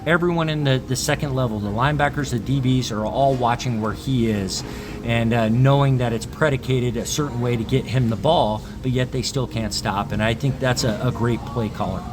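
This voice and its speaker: A man's voice: medium pitch, fairly quick. A man speaking as a sports talk guest, giving his analysis in casual conversation.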